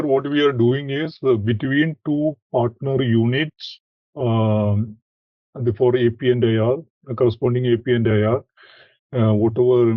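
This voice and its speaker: A man who is a trainee in a class, checking his understanding in halting, hesitant phrases. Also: mid-range voice, dry recording. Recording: dry, tinny phone-like line.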